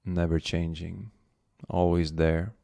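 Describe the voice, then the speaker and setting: A man leading a guided meditation as the guide, speaking gently and unhurriedly with long pauses. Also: sits low, flat delivery, gravelly, no room sound, clean studio sound.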